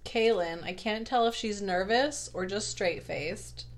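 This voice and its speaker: A young woman co-hosting a podcast, soft-spoken and chatting casually with friends. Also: mid-range voice, measured pace, a little hiss or hum. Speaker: podcast co-host. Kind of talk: casual chat.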